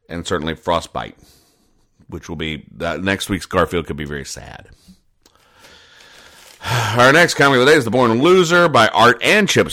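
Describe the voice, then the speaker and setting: A man hosting a casual comedy podcast, retelling comic strips in a halting, conversational way and explaining the jokes with mock earnestness. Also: low-pitched, fairly bright tone. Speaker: podcast host.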